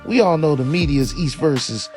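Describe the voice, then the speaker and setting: A man's voice: fairly high pitch, normal speed, darkish tone. A man giving scripted true-crime commentary as a video narrator, in an opinionated, slangy manner with some profanity. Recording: voice-over with faint music behind.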